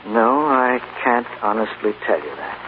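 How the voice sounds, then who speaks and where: An earnest, fond studio boss in a 1940s radio play. He speaks emphatically with animated pitch. The recording is narrow and scratchy. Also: a little slow. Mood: resigned, fond. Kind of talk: 1940s radio drama acting. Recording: noisy, tinny 1940s radio broadcast.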